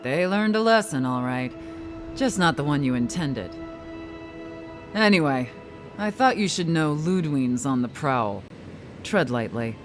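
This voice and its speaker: A chatty, knowing informant from a fantasy video game. His pitch sits somewhat high and his manner is breezy, sly and wry. Light music sits behind the voice. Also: expressive, a little slow, long pauses. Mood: grave, knowing.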